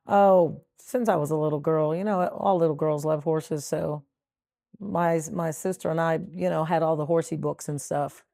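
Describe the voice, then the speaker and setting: A woman's voice: low-pitched, fairly even intonation, normal speed, vocal fry, mellow, no room sound, studio quality. A middle-aged woman from Trinidad and Tobago, a sanctuary founder speaking earnestly and reflectively in a documentary interview. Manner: earnest, reflective, with frequent fillers.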